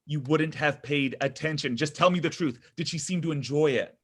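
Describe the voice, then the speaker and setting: Actor as higher-voiced, insecure boyfriend. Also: male voice, normal speed, husky. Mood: anxious, pressing. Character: needy, insecure boyfriend in his thirties, pressing for reassurance. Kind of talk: comedy pilot table read.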